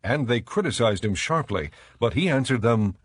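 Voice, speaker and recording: A man narrating scripture as a scripted audiobook in a measured, solemn manner. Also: mid-range voice, lively intonation, dry recording, studio quality.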